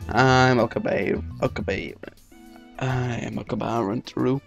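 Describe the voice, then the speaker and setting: A man plays a deluded young mad scientist in a visual novel. He is pompous and theatrical, full of his own importance. His pitch is middling, with music behind. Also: steady tone, slow, creaky, highs cut off. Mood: grandiose.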